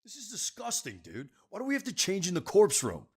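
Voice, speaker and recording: A man's voice: sits high, huge pitch swings, brisk, darkish tone, slight background noise, full character voice. An actor playing a dim, squeamish, easygoing dude-bro best man with a dopey, slangy manner in an audio drama.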